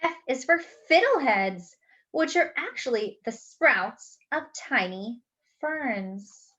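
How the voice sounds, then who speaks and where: A woman reading a picture book aloud to young children, enunciating deliberately and adding playful asides as a story-time host. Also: high voice, very animated, bright, forceful tone, dull audio.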